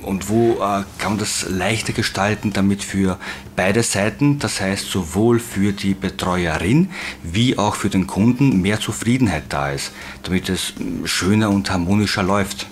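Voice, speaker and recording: A man's voice: deep voice, moderate pace, a little hiss or hum. A man in his forties from Slovakia, a guest explaining things plainly and steadily, with a few hesitations. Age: 40s. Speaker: guest, agency owner.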